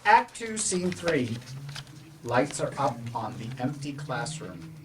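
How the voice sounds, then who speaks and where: A man's voice: medium pitch, sing-song, takes it slowly, fairly bright tone, low-quality recording. Man reading stage directions, slight noise. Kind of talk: scripted narration at a staged play reading.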